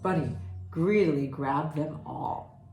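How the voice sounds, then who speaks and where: A woman reading a children's picture book aloud expressively, pausing to comment and put questions to her listeners. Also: low-pitched, darkish tone, faint music behind. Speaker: read-aloud storyteller. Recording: roomy echo, faint background noise.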